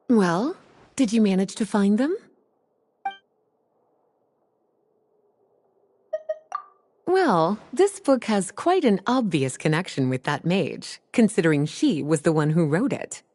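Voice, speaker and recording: A woman playing a poised, knowing and gently teasing young librarian of magic in a video game. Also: mid-range voice, natural voice. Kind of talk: video game character acting.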